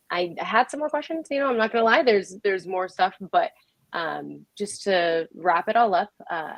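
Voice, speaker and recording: A woman's voice: medium pitch, no echo. A woman hosting an interview in a casual, informal way, relaying questions from the audience. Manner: informal, chuckles often. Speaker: host.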